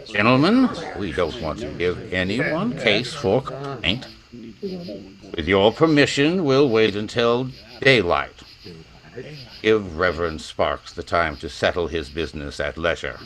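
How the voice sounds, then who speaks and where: A man playing a cold, commanding major in a radio western, speaking formally and with measured authority. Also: deep voice, sing-song, a crowd in the background, poor audio. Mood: coolly formal. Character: cold, commanding major leading a lynch mob. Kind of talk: old-time radio drama acting.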